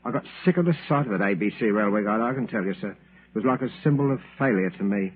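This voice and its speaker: Timid, shabby little fellow, tinny radio. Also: male voice, lowish voice, lively intonation, fast talker, slightly rough. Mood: bitter, agitated. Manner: timid, apologetic, hesitant, deferential. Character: meek, shabby, forgetful male lodger and failed salesman. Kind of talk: 1940s radio acting. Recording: tinny, hissy old radio broadcast.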